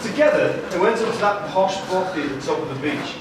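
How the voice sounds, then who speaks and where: A man's voice: high voice, lively intonation, fairly quick. A man narrating a scripted story as part of a live puppet show. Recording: echoey noisy hall, far from microphone.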